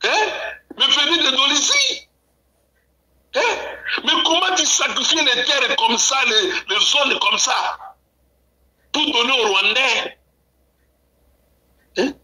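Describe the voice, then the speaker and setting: A man's voice: extremely high pitch, animated, slow, long pauses, bright, forceful tone, reverberant, low-quality recording. A man from Congo in heated political talk, repeating phrases and ending points with "hein?". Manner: repeats himself, punctuates with "hein?". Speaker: guest commentator.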